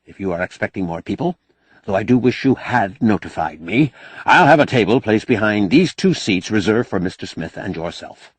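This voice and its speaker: A man's voice: on the low side, expressive, normal speed, breathy edge, no room sound. A man voicing a younger, officious protocol official, polite and hesitant, in an audiobook novel. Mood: stiffly apologetic. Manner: polite, apologetic, hesitant, fussy.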